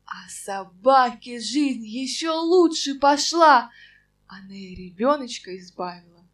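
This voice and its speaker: A woman narrating a folk tale in an expressive, sing-song way. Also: on the high side, slow pace. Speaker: storyteller.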